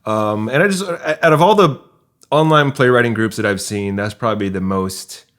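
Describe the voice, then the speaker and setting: Low-pitched male podcast host. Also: moderate pace, fairly bright tone. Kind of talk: casual interview chat.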